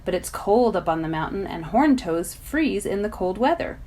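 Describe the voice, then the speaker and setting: A woman reads a children's novel aloud in expressive narration. Her medium-pitched voice moves up and down a great deal, as a volunteer storyteller would. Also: normal speed.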